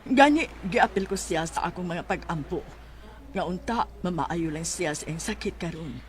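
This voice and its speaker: A woman's voice: on the low side, lively intonation, normal speed, natural voice. A woman with a Filipino Bisaya accent playing a remorseful, earnest and somewhat defensive aunt in a radio drama. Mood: prayerful. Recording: faint background noise.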